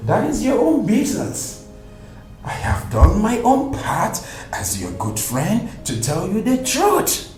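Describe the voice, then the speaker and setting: A man voicing a blunt friend in a home drama. He lectures slowly, his voice swinging wide up and down. The room sounds echoey. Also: high-pitched, wildly swooping pitch, a little mellow, soft background music, heavily acted. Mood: lecturing. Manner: lecturing, exasperated. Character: blunt friend giving advice.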